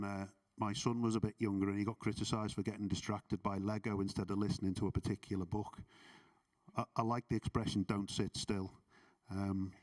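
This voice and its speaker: A man's voice: on the low side, a little mellow, distant mic. A middle-aged man with a Scouse accent, giving earnest, self-deprecating closing remarks at a conference, with many ums. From Liverpool, England. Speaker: conference speaker. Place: conference hall.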